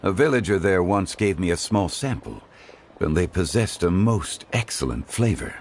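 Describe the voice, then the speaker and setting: A man voicing a courteous, refined gentleman in a video game who speaks in a formal, measured and eloquent way. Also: deep voice, expressive, a little hiss or hum. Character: refined, courteous gentleman fond of the finer things. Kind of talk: video game cutscene dialogue.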